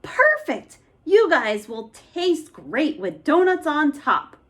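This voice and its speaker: Woman playing a high-pitched, grumpy storybook monster. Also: huge pitch swings, takes it slowly, a bit bright, full character voice. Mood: indignant, menacing. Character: grumpy, hungry many-headed monster in a storybook.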